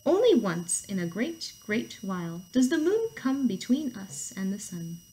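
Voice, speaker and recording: A woman voicing a calm, wise turtle in a storybook, speaking slowly and patiently in a soft, hushed manner. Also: mid-range voice, a little hiss or hum, poor audio.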